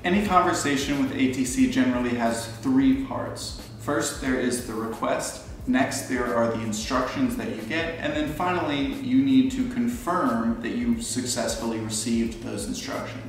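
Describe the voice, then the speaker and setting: A man's voice: medium pitch, moderate pace, few pauses. An American man in his twenties giving an instructional explanation to camera as a student pilot, recorded in a living room. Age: 20s. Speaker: student pilot presenting a tutorial. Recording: roomy, echoey, distant, faint noise.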